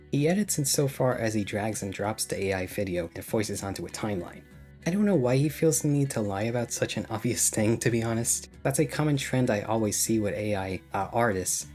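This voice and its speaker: A man with a lowish voice delivers scripted video-essay narration, dry and sarcastic in his critique. His commentary is critical throughout. Soft guitar music plays faintly underneath. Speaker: YouTube commentator.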